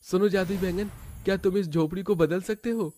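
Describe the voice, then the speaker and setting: A man voicing an eager, innocent young grandchild in a cartoon story, pleading and excitable. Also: sits high, brisk, no room sound, pitched above the performer's own voice.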